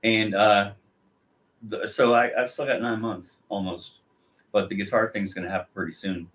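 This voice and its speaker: A man's voice: lowish voice, fairly even intonation, moderate pace, a bit bright. A man co-hosting a live chat show, talking casually, trailing off mid-sentence and laughing often. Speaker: co-host.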